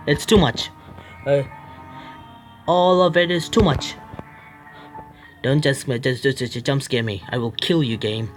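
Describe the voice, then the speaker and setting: A man streaming a horror visual novel, reading its text aloud haltingly, stumbling over words and breaking off to complain at the game. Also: medium pitch, fairly even intonation, faint music behind. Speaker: gaming streamer.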